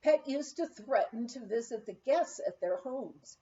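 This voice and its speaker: Female audiobook reader, mellow and unhurried, expressive memoir storytelling, slightly muffled sound. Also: fairly high pitch, slight background noise. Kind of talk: memoir read aloud, storytelling. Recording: slightly dull, lo-fi recording.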